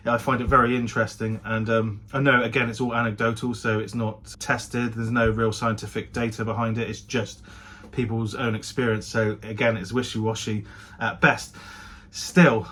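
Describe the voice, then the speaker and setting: A man's voice: lowish voice, level tone, moderate pace, few pauses, bright, forceful tone, a little hiss or hum. A man vlogging in a casual chatty style, often hedging and correcting himself as he goes. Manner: self-correcting, hedging his claims. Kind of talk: casual talk to camera.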